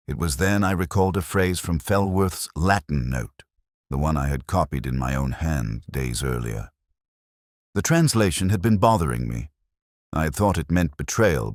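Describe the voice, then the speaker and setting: A man plays a melancholy older police inspector with an exceptionally deep voice. His formal, wry narration moves expressively up and down in pitch. The sound is dry and close, as in an audio detective drama, with every reflection measured and brooding. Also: no room sound, full character voice. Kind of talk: scripted first-person literary narration.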